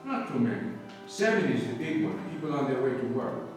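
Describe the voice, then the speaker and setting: Man acting a gentle priest in an echoing hall. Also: medium pitch, sing-song, fairly quick, lightly acted. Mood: earnest, hesitant. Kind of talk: old-time radio drama acting. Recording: very echoey hall, distant mic, music.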